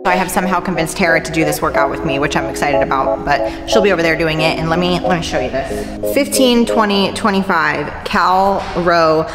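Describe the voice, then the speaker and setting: A woman's voice: deep voice, brisk. A young woman chatting casually to the camera in an offhand, unscripted way, like a fitness vlogger filming in a big gym. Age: young adult. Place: large indoor gym with hard open space. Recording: echoey gym hall, music over it.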